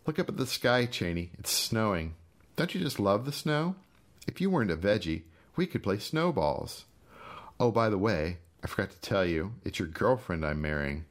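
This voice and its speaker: A man's voice: lowish voice, big pitch swings, moderate pace. A man voicing a vengeful, sadistic storybook character who taunts his helpless brother with mocking, menacing questions. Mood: mock cheerful. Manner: mocking, menacing, sing-song rhetorical questions.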